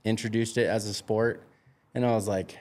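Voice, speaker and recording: Deep-voiced man hosting a podcast. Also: level tone, a little mellow. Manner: chatty, enthusiastic, quick to chime in. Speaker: podcast host. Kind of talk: casual conversation.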